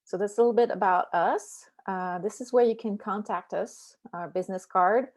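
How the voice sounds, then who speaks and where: A woman's voice: mid-range voice, moderate pace. French-accented woman presenting, lots of ums. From France. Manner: relaxed, many ums and uhs. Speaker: beekeeping presenter. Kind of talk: online slide presentation.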